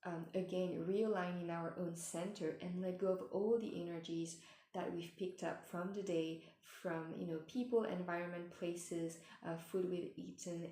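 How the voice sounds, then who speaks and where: A woman giving gentle spiritual advice in a meandering way, with many fillers and restarts, and she is losing her voice. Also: on the low side, roomy sound, slight background noise. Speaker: spiritual mentor. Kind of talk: casual advice talk.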